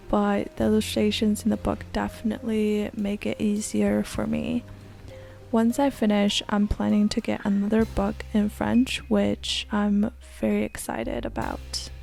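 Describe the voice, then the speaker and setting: A woman in her twenties from Canada, narrating a language-learning routine in a soft, gentle, near-whispered voice-over. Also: mid-range voice, creaky. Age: 20s.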